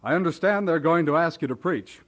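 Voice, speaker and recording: Actor as a jovial, higher-voiced clergyman. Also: a little fast, a bit bright, close to an everyday voice. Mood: genial. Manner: jovial, chatty. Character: jovial, teasing clergyman welcoming a colleague. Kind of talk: audio drama acting.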